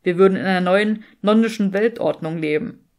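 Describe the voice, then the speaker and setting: A woman's voice: mid-range voice, a little fast, darkish tone, natural voice. A woman voicing a sardonic, vain young male wizard in an audiobook, with a dry, snooty manner. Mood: amused, snooty.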